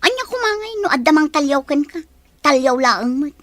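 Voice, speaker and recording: A woman's voice: sits high, very animated, brisk, a bit bright. A woman acting a coy, lovestruck, wistful office secretary in an Ilocano audio drama.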